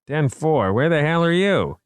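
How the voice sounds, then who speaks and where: A man's voice: fairly high pitch, sing-song, measured pace, smooth, no room sound, pitched above the performer's own voice. Male narrator as blunt cranky security dispatcher in an audiobook, raised voice, very dry sound. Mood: brusque, irritated. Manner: blunt, slangy.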